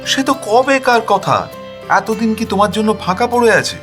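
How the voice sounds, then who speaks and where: A man voicing a practical wife in an audio story, brisk, teasing and questioning. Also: high-pitched, animated, music in the background, voice pushed up. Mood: doubting, practical.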